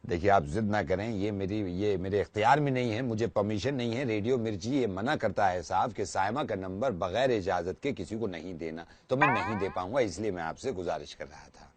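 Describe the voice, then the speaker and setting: A man working as a radio jockey, making a prank phone call in a courteous, formal way. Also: lowish voice. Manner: courteous, formal, deliberate politeness.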